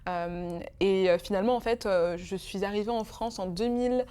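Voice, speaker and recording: Young woman, twenties, from Congo, interview guest, hesitant, lots of fillers, medium pitch. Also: normal speed, mellow, smooth. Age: 20s. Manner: hesitant, many fillers and restarts. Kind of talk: emotional interview.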